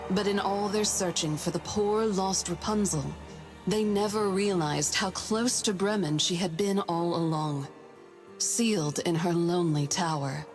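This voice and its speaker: Actress as solemn, lowish-voiced female storyteller over music. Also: unhurried, close to an everyday voice. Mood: solemn storytelling. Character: solemn female storyteller of a tragic fairy tale. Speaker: narrator. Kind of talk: scripted storybook narration for a video game cutscene. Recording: music bed under narration.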